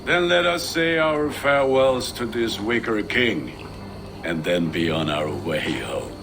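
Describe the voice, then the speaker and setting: An actor playing a hearty, boisterous, loyal Viking comrade in a video game. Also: male voice, fairly low pitch, lively intonation, takes it slowly, gravelly, music in the background, heavily acted. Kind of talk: video game cutscene acting.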